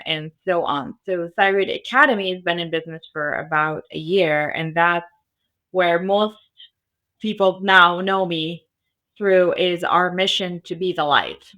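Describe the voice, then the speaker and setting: Bright-voiced woman. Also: fairly low pitch, a little slow. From Arabic-speaking country. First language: Arabic. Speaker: guest, founder of a cybersecurity school. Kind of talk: podcast interview. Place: Las Vegas area.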